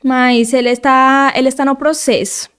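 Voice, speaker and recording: A woman's voice: high-pitched, steady tone, normal speed, fairly bright tone, smooth. A Colombian woman speaking with a Spanish accent as a guest, talking earnestly in casual conversation and restarting her phrases often. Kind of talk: casual interview chat.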